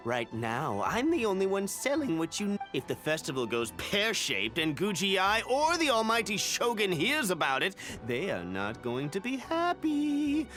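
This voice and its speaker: A crooked game merchant, smug and indignant. His bright, sharp voice swings dramatically in pitch as he haggles with theatrical scorn. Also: huge pitch swings, normal speed, hardly any pauses, soft background music, exaggerated voice. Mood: smug, scornful. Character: indignant, pedantic-hating crooked male merchant, scheming and smug. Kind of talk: video game character acting.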